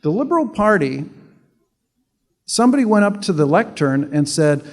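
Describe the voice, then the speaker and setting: A man's voice: high-pitched, very animated, moderate pace, darkish tone, in a large hall. A Canadian-born man giving a sarcastic, conversational political speech to an audience, often restarting with repeated words. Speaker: public speaker.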